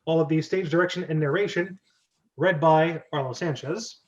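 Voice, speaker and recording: Chatty joking guy hosting a script club, voice a bit high, slightly muffled sound. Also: normal speed. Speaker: host of a script reading club. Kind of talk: casual hosting chat.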